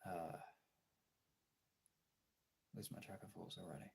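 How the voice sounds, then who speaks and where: A man with a gravelly, husky voice in a casual, personal chat to viewers, halting and candid, swearing often. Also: low voice, steady tone, slight background noise. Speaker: streamer.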